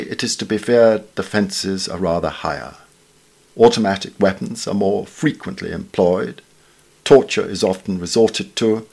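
Man, British accent, low-pitched voice, formal literary reading, measured delivery, dry understatement. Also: gravelly. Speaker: reader of newspaper essays. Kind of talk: scripted literary essay reading.

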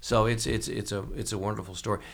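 Low, creaky-voiced male host. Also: steady tone, a bit bright. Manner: halting, hesitant phrasing. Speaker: podcast host. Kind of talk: casual interview chat.

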